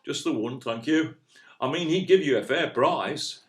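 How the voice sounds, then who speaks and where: An actor playing a chatty, earnest, down-to-earth English know-all in an audio play, with a colloquial, mildly pedantic manner. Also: male voice, mid-range voice, normal speed, echoey room, lightly acted. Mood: matter-of-fact.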